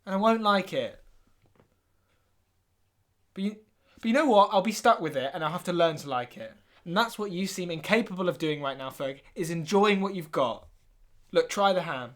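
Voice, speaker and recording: A man with a British accent playing an earnest, easily hurt fan podcaster, talking hesitantly as co-host of a deadpan comedy show. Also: high voice, moderate pace, pauses often.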